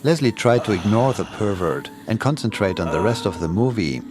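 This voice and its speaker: A man's voice: on the low side, expressive, fairly quick, noisy background. A man reading a scripted horror story as its narrator.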